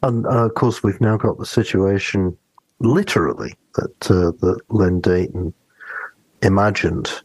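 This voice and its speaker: A man's voice: sits low, unhurried, darkish tone, breathy edge, no echo, low-quality recording. A man co-hosting a podcast in casual conversation, tentative and given to murmured agreement. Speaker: podcast co-host.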